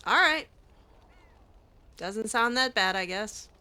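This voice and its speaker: Bright-voiced woman role-playing in a game. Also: on the high side, animated, takes it slowly. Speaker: gamer, role-playing her character. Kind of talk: improvised in-character game roleplay, casual. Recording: faint background noise.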